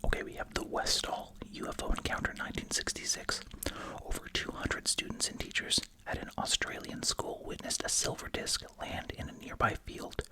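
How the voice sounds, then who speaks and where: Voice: normal speed, rough recording. An ASMR host whispering close to the mic while chewing gum, reading aloud with casual, musing asides. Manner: informal, musing asides in a hushed voice. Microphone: close ASMR microphone.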